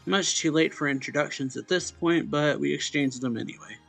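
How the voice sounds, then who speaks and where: Gravelly-voiced man reading haltingly over music. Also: on the high side, fairly bright tone. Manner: halting delivery, stumbling and rereading lines. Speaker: let's play host. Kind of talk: reading a visual novel aloud.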